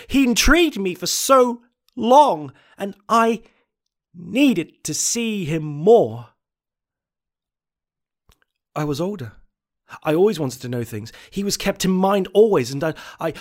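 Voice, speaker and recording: A man playing a desperate, obsessed confessor in a horror story, halting and repeating words. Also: high voice, pitched above the performer's own voice. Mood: frantic, desperate. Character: unstable, haunted anonymous confessor on tape, desperate and obsessed. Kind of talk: dramatic first-person horror monologue.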